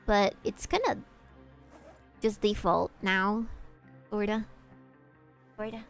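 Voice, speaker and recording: A woman's voice: mid-range voice, slow pace, gravelly, soft background music. A young woman from Peru whose first language is Spanish, chatting casually and swearing freely while streaming a game. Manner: chatty and animated, swears freely, reacts to chat.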